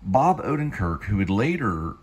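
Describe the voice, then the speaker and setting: A man in his fifties talking casually in a rambling, halting way, often digressing and restarting phrases as a show host. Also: lowish voice, sing-song, slight background noise. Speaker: show host, actor and teleplay writer. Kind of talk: rambling casual vlog talk.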